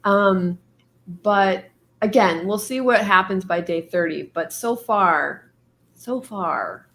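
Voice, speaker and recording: A woman hosting a writing stream, talking casually with many fillers and laughs, her voice going hoarse. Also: medium pitch, lively intonation, slow pace, fairly bright tone. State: losing her voice. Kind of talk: casual chat.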